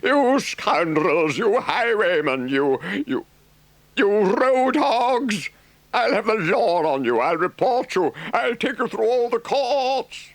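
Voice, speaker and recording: A man voicing a male water rat who blusters and then speaks calmly and firmly. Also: on the low side, unhurried, dry recording. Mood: furious. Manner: blustering outbursts, then calm and firm. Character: indignant male water rat, practical and firm. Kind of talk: storybook character voice.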